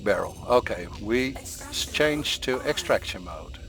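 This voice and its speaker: A man from the Netherlands with a Dutch accent, calmly explaining step by step while playing a game for a tutorial. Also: lowish voice, a little slow, vocal fry. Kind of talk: gameplay tutorial commentary. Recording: voice over game sound and music.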